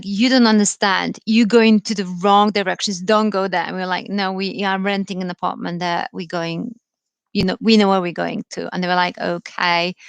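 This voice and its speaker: A woman's voice: medium pitch, vocal fry, no room sound. A woman in her thirties from Poland with a Polish accent, giving an informal live talk, thoughtful and full of ums and restarts. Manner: thoughtful, many fillers and restarts. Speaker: career speaker.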